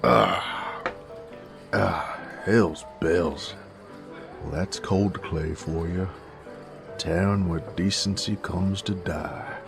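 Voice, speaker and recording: A man playing a gruff, teasing werewolf bounty hunter in a Western audio roleplay, with a gravelly American Western drawl and a folksy, coarse manner. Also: deep voice, fairly even intonation, very slow, music in the background, full character voice. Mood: sardonic.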